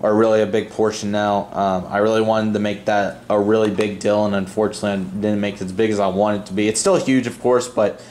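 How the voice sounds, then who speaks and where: Rambling, earnest man with a low voice. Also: level tone, brisk, few pauses, a little mellow, a little hiss or hum. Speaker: host of a model airport video channel. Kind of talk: casual unscripted vlog voice-over.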